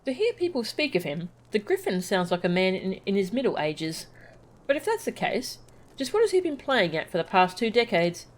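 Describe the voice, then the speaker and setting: A woman voicing an earnest, cautious scholar from a video game with formal, old-fashioned, measured speech. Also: medium pitch, sing-song, close to an everyday voice. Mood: suspicious, musing. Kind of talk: reading video game dialogue aloud.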